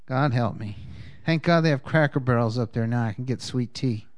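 Halting man leading church prayer. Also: mid-range voice. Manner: halting, many fillers and restarts, folksy. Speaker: congregation leader.

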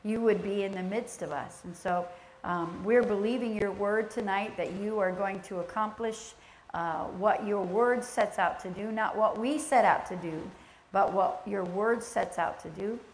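A soft-spoken woman teaches a church session in a gentle, conversational way, pausing on fillers as she goes. Her voice sits at an ordinary middle pitch. The sanctuary makes it ring with echo over a faint hum of room noise. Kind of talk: sermon teaching with prayer.

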